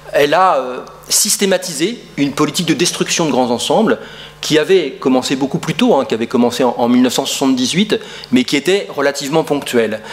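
A middle-aged man giving an academic lecture on urban history in a lecture room, talking with many fillers and restarts, recorded by a camera some distance away. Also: medium pitch.

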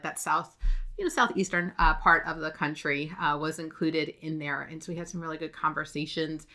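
A woman's voice: deep voice, pushed and bright. A middle-aged American woman giving an informal, chatty update to camera as the presenter of a professional blog. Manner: chatty, conversational. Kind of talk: informal talking-head update. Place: indoors, plain backdrop.

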